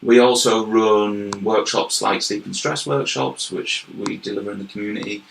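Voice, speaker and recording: Brief: young Yorkshire man, interview guest, earnest and explanatory, gravelly tone, roomy echo. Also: fairly low pitch, steady tone, moderate pace, fairly bright tone, a little hiss or hum. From York, England. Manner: earnest, explanatory, repeats words as he goes. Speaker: guest, health and wellbeing manager. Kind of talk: casual interview chat. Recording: roomy echoey recording.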